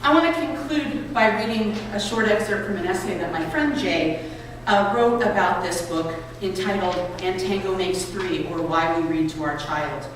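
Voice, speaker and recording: A woman reading aloud to a gathering with clear, expressive storybook phrasing. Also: lowish voice, normal speed. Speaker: reader at a public read-aloud event. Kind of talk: reading a children's book and an essay aloud. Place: college building lobby. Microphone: distant microphone. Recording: echoey hall, distant mic, noisy ambience.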